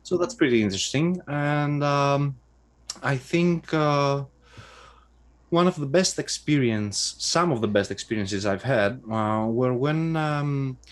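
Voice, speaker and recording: A man from Greece with a Greek accent, a guest in an interview, speaking English thoughtfully with many pauses. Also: mid-range voice, animated. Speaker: guest, adventure writer. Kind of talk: casual interview answers.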